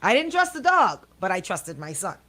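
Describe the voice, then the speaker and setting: A woman's voice: medium pitch, big pitch swings, moderate pace, sharp tone. A middle-aged woman with a Caribbean accent, hosting casual radio chat in a colloquial, emphatic storytelling way. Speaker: radio show host.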